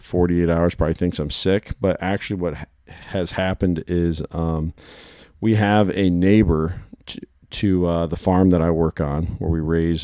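Hoarse deep-voiced male podcast host. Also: dark tone, no echo, clean studio sound. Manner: chatty, storytelling, quick bursts. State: hoarse from shouting. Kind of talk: casual podcast chat.

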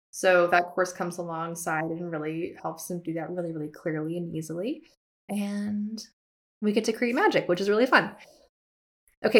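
A woman hosting an art business podcast, talking casually to listeners with self-deprecating humor and upbeat emphasis. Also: fairly low pitch. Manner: chatty, laughs at herself, upbeat emphasis. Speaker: podcast host and art educator. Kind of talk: casual teaching monologue.

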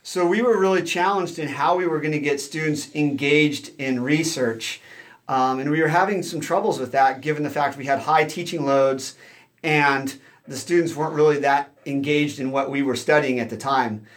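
A man from Southern California, a professor, answers interview questions at an even pace. His voice sits a little high, and he explains things steadily, with plenty of ums and uhs. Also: moderate pace. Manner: steady and explanatory, with frequent fillers. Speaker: guest, associate professor.

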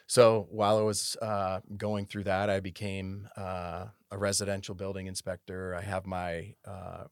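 A man in his forties from Massachusetts, a city services manager giving an unhurried, detailed interview with frequent pauses. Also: low voice, flat delivery, no room sound, clean studio sound. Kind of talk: casual interview conversation.

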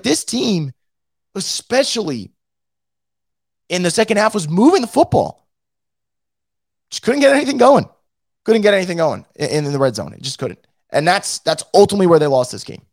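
Hoarse, lively-pitched man ranting. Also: dry recording, studio quality. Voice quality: blunt and profane, with many restarts. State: hoarse, losing his voice. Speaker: fan show host reading live chat. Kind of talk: casual post-game rant.

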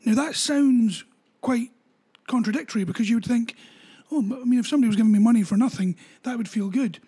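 Husky woman explaining dog training. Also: fairly high pitch, fast, dark tone. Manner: explains step by step with everyday examples. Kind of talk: explanatory teaching talk.

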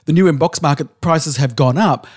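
An Australian man with a voice set a bit high for a man, pressing his case in a casual podcast debate. He is insistent and keeps asking for proof, with casual swearing mixed in. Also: normal speed, husky. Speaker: podcast co-host.

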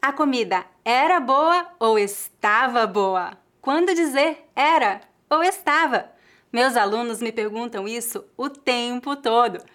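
A woman with a Brazilian Portuguese accent, teaching a scripted online language lesson in a clear, careful way and drilling slow examples. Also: on the high side, expressive, a bit bright.

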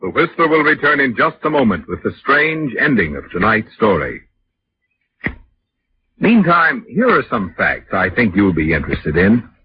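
Casting: male vintage radio announcer, persuasive and polished, lively intonation, muffled recording. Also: mid-range voice, moderate pace, a little hiss or hum. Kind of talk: 1940s radio sponsor announcement.

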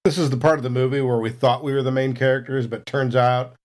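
A man's voice: medium pitch, little pitch movement, fast, darkish tone. A man chatting casually as a player in a tabletop role-playing game, describing his moves.

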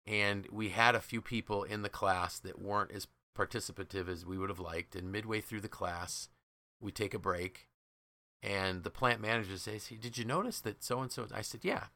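Bright, forceful man hosting a podcast. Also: lowish voice, moderate pace. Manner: enthusiastic, emphatic, acts out quoted dialogue. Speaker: podcast host and leadership trainer. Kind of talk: conversational podcast storytelling.

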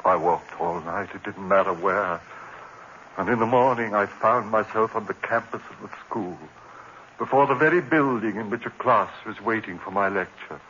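A man's voice: on the low side, normal speed, pushed and bright. An actor playing a haunted lecturer who narrates his own descent into madness in an intense, brooding tone, in 1940s radio acting style. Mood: haunted narration. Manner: intense, brooding, breaking into frantic outbursts. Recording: muffled old radio broadcast with hiss.

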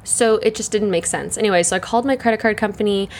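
A young woman hosting her solo podcast, chatting in a rambling way full of fillers, her voice croaky and congested from the morning. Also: medium pitch, quick pace, slight background noise. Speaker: podcast host. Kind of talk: casual solo chat.

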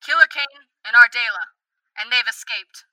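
A woman's voice: fairly high pitch, normal speed, bright, forceful tone, no echo, recorded from a distance, low-quality recording. A young woman playing an official space radio dispatcher in a 1930s-style serial, clipped and urgent. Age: young adult.

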